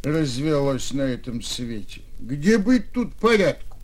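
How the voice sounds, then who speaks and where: A man playing a tipsy, pompous landlord who rambles haltingly and grumbles, in a radio story. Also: mid-range voice, big pitch swings, darkish tone. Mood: muddled, pompous. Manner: halting, rambling, loses his words. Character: tipsy male landlord, pompous, muddled, grumbling about order.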